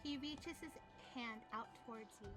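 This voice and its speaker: A woman streaming a visual novel playthrough, expressive and excitable as she narrates and chats. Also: fairly high pitch, normal speed. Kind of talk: casual livestream chat and dramatic narration. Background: music.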